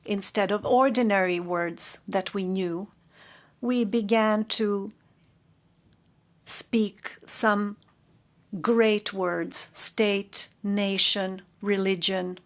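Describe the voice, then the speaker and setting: A woman interpreting a formal courtroom statement into English, measured and halting, correcting herself as she goes. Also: medium pitch, steady tone.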